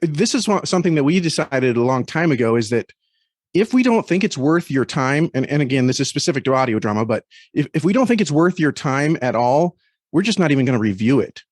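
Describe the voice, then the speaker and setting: A man speaking as a guest critic in a thoughtful podcast conversation, measured and often repeating words as he chooses them. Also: medium pitch, lively intonation, dry recording, studio quality.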